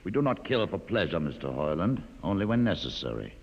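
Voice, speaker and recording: A deep gravelly mutant leader. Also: male voice, big pitch swings, exaggerated voice. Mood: calm, formal. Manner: measured, formal, articulate. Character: calm, educated male mutant leader, courteous but menacing. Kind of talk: 1950s radio drama acting. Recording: muffled vintage radio, long reverb.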